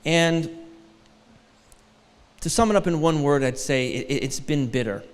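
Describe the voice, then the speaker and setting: A man's voice: fairly high pitch, measured pace, clean tone, in a large hall. A man in his forties from Detroit, a guest preacher giving a sermon with a light, thin voice and a joking, self-deprecating manner. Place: large church hall.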